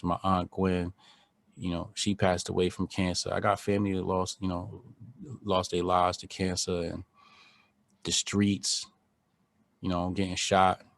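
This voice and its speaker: Deep-voiced man, earnest podcast guest. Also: dry recording. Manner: earnest, reflective, speaks from personal experience. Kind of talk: candid conversation.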